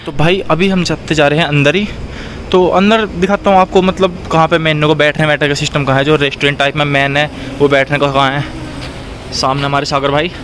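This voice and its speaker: High, bright-voiced western Uttar Pradesh man vlogging. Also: fairly even intonation, fairly quick, a little hiss or hum. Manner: informal, addresses viewers directly. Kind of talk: casual vlog chat. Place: outdoors, roadside and restaurant garden.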